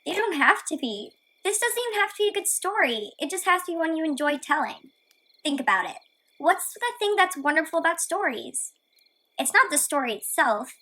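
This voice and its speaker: A woman plays a plucky radio-play heroine, a girl with a very high, bright voice. She talks in a chatty, gently corrective way and stays optimistic. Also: big pitch swings, fairly quick, exaggerated voice. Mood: encouraging. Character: plucky, optimistic girl heroine who contradicts her narrator.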